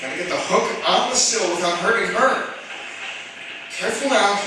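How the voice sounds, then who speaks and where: An actor playing a brave, earnest firefighter, muttering with effort and calling out in a radio drama. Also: male voice, sits high, moderate pace, lightly acted. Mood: strained. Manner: effortful muttering, calls out to others. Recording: echoey, distant, clearly noisy.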